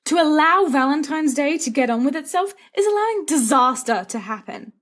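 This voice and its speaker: A woman's voice: high-pitched, lively intonation, normal speed, pitched above the performer's own voice. A woman voicing a mischievous, dramatic teenage wizard schoolboy with a teasing, theatrical delivery in an audiobook-style story. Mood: mock-dramatic. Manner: theatrical, teasing, flowery speech.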